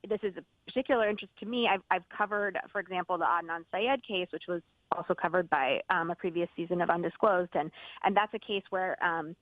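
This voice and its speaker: A woman's voice: on the low side, no echo. Woman on a tinny phone line. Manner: measured, hesitant with frequent fillers. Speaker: podcast host, guest. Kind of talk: roundtable discussion.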